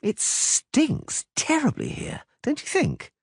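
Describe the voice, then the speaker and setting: A man voicing an excitable, chatty male bard who talks quickly and mockingly. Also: extremely high pitch, no echo, studio quality, pitched above the performer's own voice, full character voice.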